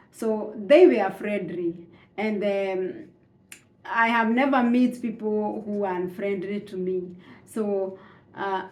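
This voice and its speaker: A woman's voice: a little high, darkish tone, echoey room. A Kenyan woman whose first language is Swahili, telling her own story in a hesitant way with many fillers and restarts. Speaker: immigrant sharing her story. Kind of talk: slow reflective personal account.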